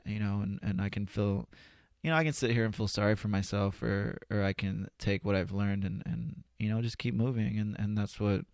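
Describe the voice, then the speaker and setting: Low, gravelly man in earnest conversation. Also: steady tone, a little mellow, no room sound, studio quality. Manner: earnest, reflective, halting with repeated "you know". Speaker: interview guest, spoken-word poet. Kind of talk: casual, candid podcast conversation.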